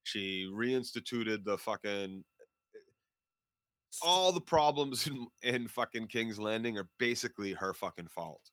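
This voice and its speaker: A man's voice: lowish voice, animated, a little slow, a bit bright, no echo. A man co-hosting a casual fan podcast, blunt and emphatic, swearing constantly as he makes his points. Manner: blunt, heavily profane, emphatic. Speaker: podcast co-host.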